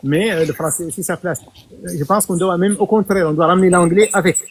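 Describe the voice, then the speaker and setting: A man's voice: sits high, steady tone, quick pace, breathy edge. A man who leads a party from Mauritania, giving thoughtful answers in an interview and often restarting his phrases. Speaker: political party leader, interview guest.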